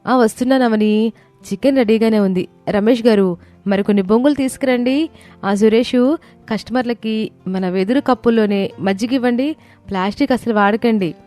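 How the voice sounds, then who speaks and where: Woman playing an encouraging, mellow young daughter-in-law. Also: a little high, moderate pace, soft background music, natural voice. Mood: busy, eager. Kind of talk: moral story character acting.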